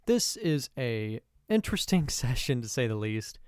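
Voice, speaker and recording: A man's voice: medium pitch, normal speed, clean tone, dry recording. Young man ranting over gameplay, dry sound. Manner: casual, swears and rants in sudden excited bursts. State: voice a little off from allergies. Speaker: gaming video host. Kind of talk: let's play commentary.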